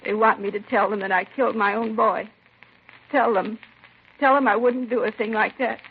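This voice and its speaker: A woman's voice: a little high, fairly even intonation, moderate pace, creaky, husky. An actress playing a possessive, defensive older mother in a 1950s crime radio drama. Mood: pleading, accusing. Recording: tinny, crackly 1950s radio recording.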